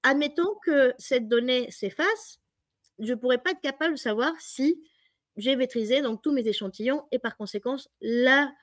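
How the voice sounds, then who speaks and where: A woman's voice: high-pitched, normal speed, dry recording, studio quality. A woman from Mexico with a Mexican Spanish accent, explaining her work as an interview guest. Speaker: interviewed biotech industry professional.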